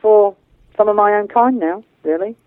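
A woman's voice: medium pitch, normal speed, dry recording. A woman speaking informally as a spiritual interview guest, earnest and emphatic in colloquial language. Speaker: interview guest, medium and channeller. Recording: narrow phone-like line, dry.

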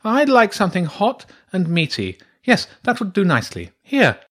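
A man's voice: high voice, huge pitch swings, normal speed, fairly bright tone, voice pushed up, full character voice. A man voicing a haughty, eccentric female badger priestess in a children's audiobook, theatrical and exclamatory in manner. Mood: peevish, hungry.

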